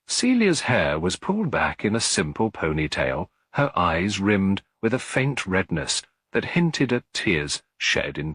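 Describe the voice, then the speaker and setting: A man's voice: deep voice, fairly bright tone, breathy edge, no room sound. A man reading a classic detective story as a scripted audiobook narration.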